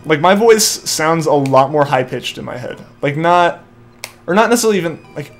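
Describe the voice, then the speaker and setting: A young man rambles through a live game stream, reading viewer comments aloud and padding nearly every phrase with "like". His voice sits a little high for a man and comes through a headset mic. Faint game music plays underneath. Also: expressive, moderate pace. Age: young adult. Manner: rambling, filler-heavy, keeps saying like. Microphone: headset mic feeding back to headphones.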